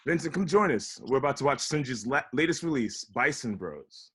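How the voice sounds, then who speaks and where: A man reading a comedy script, both as an incredulous young roommate and as the dry, wry reader of stage directions, with a husky voice. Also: medium pitch, lively intonation, moderate pace, fairly bright tone. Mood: casual. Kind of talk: table read of comedy script, with narrated directions. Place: at home, remote table read.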